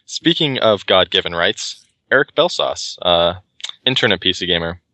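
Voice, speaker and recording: A man's voice: low-pitched, animated, normal speed, bright, forceful tone, no room sound. A man hosting a casual gaming podcast, reading intros in a playful, theatrical way. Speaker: podcast host.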